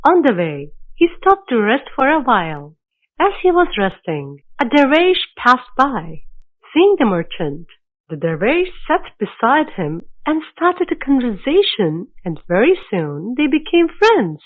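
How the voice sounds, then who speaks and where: Lively high-pitched female storyteller, tinny sound. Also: measured pace. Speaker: narrator. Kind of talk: scripted children's story narration. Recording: narrow, phone-like lo-fi sound.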